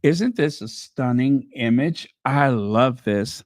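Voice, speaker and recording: A man hosting a casual livestream, musing aloud and chatting with viewers, with many pauses and ums, while saying he is losing his voice. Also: mid-range voice, expressive, dry recording, studio quality. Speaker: channel host.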